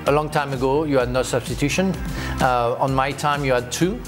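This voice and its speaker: A man with a French accent and French as his first language, talking as a football pundit in a casual panel debate, with halting restarts. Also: mid-range voice, music in the background. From France. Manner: halting, frequent restarts and fillers.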